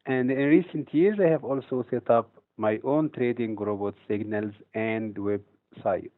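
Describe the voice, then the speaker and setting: A man from Egypt teaches a trading webinar in a measured, explanatory way, pausing now and then with a hesitant "uh." His voice sits at an ordinary middle pitch. The audio is narrow and tinny, like a call over a phone line. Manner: steady, explanatory delivery with occasional hesitations. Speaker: trading instructor. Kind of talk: webinar presentation.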